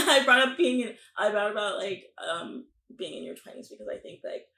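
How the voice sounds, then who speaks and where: A woman's voice: mid-range voice, expressive, moderate pace, bright, forceful tone, echoey room, slight background noise. A woman in her twenties hosting a podcast, chatting casually with lots of fillers and frequent laughter. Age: 20s. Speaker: podcast host. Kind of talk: casual interview chat.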